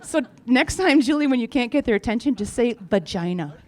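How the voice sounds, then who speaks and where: A woman's voice: a little high, expressive, fairly quick, darkish tone. A woman company founder giving an informal update on stage, speaking in a frank, humorous, chatty way. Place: on stage at a live event.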